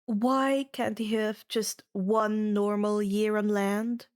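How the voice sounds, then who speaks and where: A woman's voice: medium pitch, little pitch movement, slow, a little mellow. A woman hosting and narrating a fan fiction reading in a scripted, audiobook style. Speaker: fan fiction reader and channel host.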